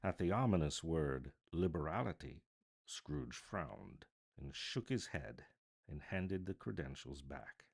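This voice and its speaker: A man's voice: rumbling low, expressive, slow pace, gravelly, husky. A man giving a dramatic scripted narration of a classic storybook, as a voice artist reading aloud.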